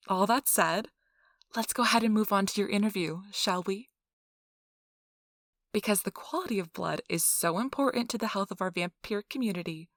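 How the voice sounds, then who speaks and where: A woman's voice: mid-range voice, no echo, studio quality, close to an everyday voice. A woman playing a chatty, officious and reassuring interviewer at a vampire blood donation center in an ASMR role-play.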